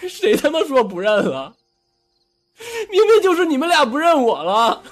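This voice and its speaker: A man's voice: very high voice, very animated, moderate pace. A man acting a foul-mouthed, hot-tempered younger brother in an audio drama, confrontational and emotionally raw. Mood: bitter, aggrieved. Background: background music.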